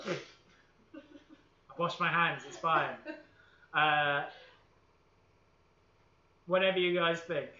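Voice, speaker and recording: A man's voice: on the high side, extremely slow, pauses often, sharp tone. A young Welsh man with an upbeat, playful, encouraging manner, hosting a live baking session for children from a home kitchen. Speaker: host of a kids' baking livestream. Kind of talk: leading a live baking session with a game of I Spy. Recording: echoey room, dull lo-fi stream audio.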